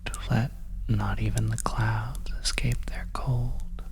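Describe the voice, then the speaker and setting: An ASMR performer of unclear sex playing a bleak, weary narrator of a desolate world in a halting, hushed whisper over oppressive ambience. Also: medium pitch, little pitch movement. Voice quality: whispery, soft. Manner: halting, hushed, drawn-out phrasing. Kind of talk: slow whispered horror ASMR monologue. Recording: oppressive ambient noise behind voice.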